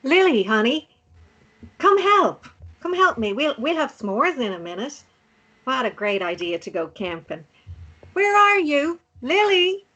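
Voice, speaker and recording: A woman's voice: high voice, sing-song, unhurried, pushed and bright, muffled recording, full character voice. An actress playing an affectionate mother and campfire storyteller in a table read. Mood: calling fondly.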